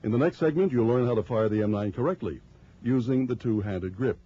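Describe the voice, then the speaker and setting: A man reading scripted instructional narration for a training film in a measured, formal, explanatory way. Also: mid-range voice, warm tone. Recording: dull lo-fi early 1990s film soundtrack.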